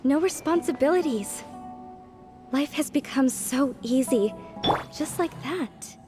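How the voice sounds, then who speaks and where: High, slow, placid follower. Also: female voice, animated, over music. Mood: blissful. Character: placid female follower, blissfully free of choice. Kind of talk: video game voice acting.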